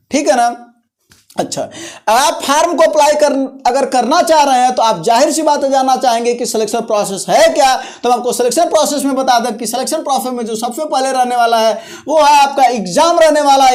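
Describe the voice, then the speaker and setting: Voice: mid-range voice, a little mellow. A presenter hosting an informal explainer on exam and job details, speaking in an instructional way, repeating key points and often checking in with listeners. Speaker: host of a job-notification video channel.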